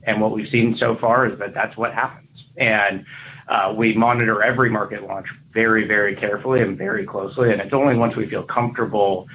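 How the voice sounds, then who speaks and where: A man speaking as a company executive, answering questions on an earnings call in measured business language. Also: lowish voice, steady tone. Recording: muffled conference line, faint noise.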